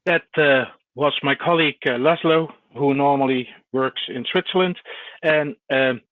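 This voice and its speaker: A man presenting a webinar over a video call, speaking in a measured way with frequent hesitant pauses. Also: mid-range voice, no room sound. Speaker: company founder presenting a webinar. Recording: thin phone-like online call, dry.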